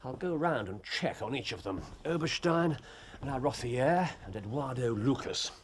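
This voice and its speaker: An actor playing a shrewd middle-aged English detective in a period drama, speaking in deliberate, musing phrases with theatrical pauses. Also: male voice, medium pitch, lively intonation, slight background noise, natural voice. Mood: intent.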